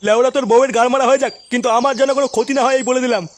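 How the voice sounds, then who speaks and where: A man's voice: extremely high pitch, fairly even intonation, very fast. A man voicing a bullying, lecherous old factory boss in a comic cartoon, with a coarse, scolding manner. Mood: angry scolding. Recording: loud noise behind the voice.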